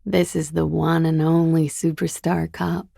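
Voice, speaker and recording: A young expatriate game character, cool and weary of the world. Her voice sits fairly low and is husky with a lot of gravel. She teases with dry irony, taking her time over each line. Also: level tone, unhurried, warm tone, no room sound, full character voice. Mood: wry, teasing. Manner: dry, languid, ironic. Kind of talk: video game character dialogue.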